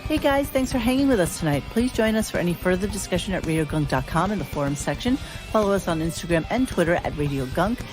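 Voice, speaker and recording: Upbeat, brisk female announcer with clear music behind. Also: on the low side, animated. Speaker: outro announcer. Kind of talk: scripted outro.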